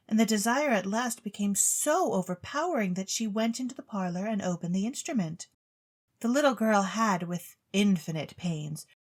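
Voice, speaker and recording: A woman storyteller reading a scripted tale in a theatrical storybook narration style. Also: medium pitch.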